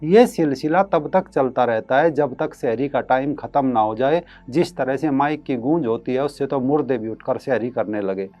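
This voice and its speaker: A man's voice: medium pitch, moderate pace, hardly any pauses, warm tone, soft background music. A man hosting an online commentary show, reading a scripted piece with emphatic, rhetorical delivery.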